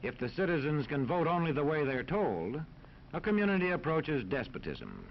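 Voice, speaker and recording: An American man narrating a scripted 1940s educational film in a formal, authoritative, measured manner. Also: on the high side, big pitch swings. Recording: dull 1940s film soundtrack, faint noise.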